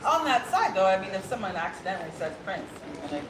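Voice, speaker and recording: A woman's voice: medium pitch, very animated, quick pace. A woman from Ireland speaking as a new princess opening a court, laughing often and stumbling over fillers. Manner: warm, laughing, many fillers and restarts. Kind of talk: ceremonial speech and casual exchange. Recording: echoey hall with background noise.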